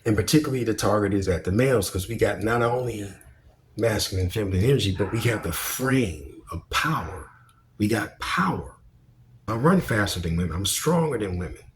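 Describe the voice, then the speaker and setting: Deep-voiced male commentator, blunt and emphatic, preachy, curses freely, faint hiss behind. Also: expressive, fairly quick. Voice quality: deep projecting voice. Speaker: commentary vlogger. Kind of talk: opinionated monologue commentary.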